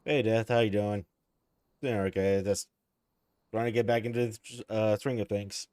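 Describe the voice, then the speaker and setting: A man streaming from home, sounding sleepy and relaxed as he chats casually with viewers and chuckles often. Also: on the low side, normal speed, smooth, no echo, clean studio sound. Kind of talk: casual live-stream chat.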